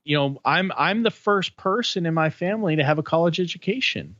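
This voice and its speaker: A man hosting a candid podcast conversation, working his thoughts out slowly with lots of restarts and "you know"s. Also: on the high side, very animated, a bit bright, no echo, highs cut off. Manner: halting and searching, many restarts and fillers. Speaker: podcast host.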